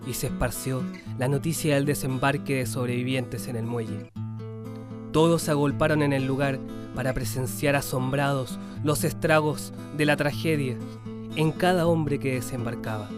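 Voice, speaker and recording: An actor playing a grieving letter writer in a radio drama, reading in a solemn, measured, earnest way. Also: male voice, medium pitch, natural voice. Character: grieving male letter writer, sombre witness to tragedy. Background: plucked guitar music.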